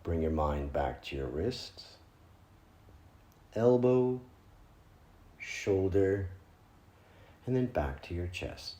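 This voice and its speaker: Male meditation guide: lowish, mellow voice, gentle and unhurried delivery with pauses, recorded with noticeable room echo. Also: lively intonation. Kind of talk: guided meditation, spoken instruction. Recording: roomy, echoing room sound.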